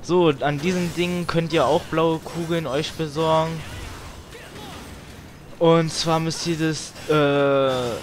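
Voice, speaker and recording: A man's voice: fairly high pitch, steady tone, slow, a little hiss or hum. A man giving casual, grumbling let's play commentary as he games, with some tongue clicking. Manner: grumbling, mild swearing. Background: video game music.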